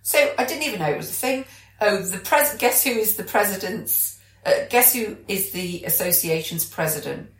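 A woman's voice: mid-range voice, animated, moderate pace, few pauses. A British woman co-hosting a podcast, chatting casually and enthusiastically. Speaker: podcast co-host. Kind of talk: casual chat. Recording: echoey room.

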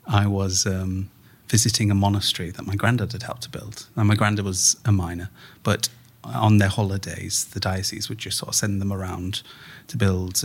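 A man talks as an interviewed writer, telling things conversationally. His voice is low and creaky with a husky edge, over faint music. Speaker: playwright being interviewed. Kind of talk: conversational storytelling.

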